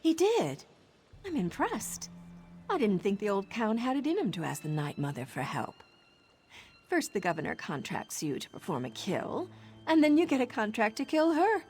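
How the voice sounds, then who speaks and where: A woman's voice: a little high, huge pitch swings, moderate pace, darkish tone. A woman playing a confident female assassin informant in a video game, with a sardonic, conspiratorial manner. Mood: amused, intrigued. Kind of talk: video game character dialogue.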